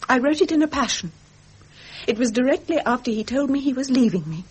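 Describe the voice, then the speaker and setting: An actress playing a seductive society mistress, anxious and imploring in a melodramatic radio drama. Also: female voice, high voice, expressive, brisk, slightly rough, full character voice. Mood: anxious confessing. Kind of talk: 1970s British radio drama acting.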